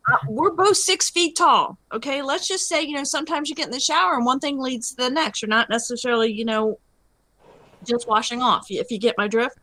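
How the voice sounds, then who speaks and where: A woman's voice: high-pitched, fairly quick, pushed and bright, no room sound. A woman in her fifties telling cheeky, suggestive stories in a casual group voice chat, laughing as she teases. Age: 50s. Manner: flirty, giggly teasing storyteller.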